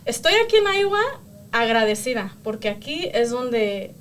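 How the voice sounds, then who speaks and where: A woman's voice: on the high side, moderate pace, noisy background. A woman with a Mexican accent, co-hosting a podcast in casual chat, telling stories and laughing often. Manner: warm, laughs often, lively storytelling. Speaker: podcast co-host.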